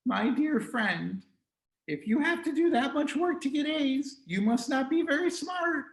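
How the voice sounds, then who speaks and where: Guy doing a smug gloating classmate, way higher than his usual voice, slow and condescending. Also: animated, echoey room, recorded from a distance. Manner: condescending, drawn-out taunting. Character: smug, gloating male schoolmate.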